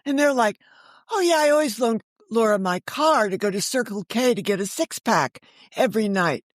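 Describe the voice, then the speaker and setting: A woman's voice: fairly high pitch, expressive, moderate pace, no echo, clean studio sound. An older woman, around seventy, chatting candidly as an interview guest and telling casual anecdotes, acting out what people said. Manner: chatty and candid, acts out quoted remarks. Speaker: guest, author and audio drama creator.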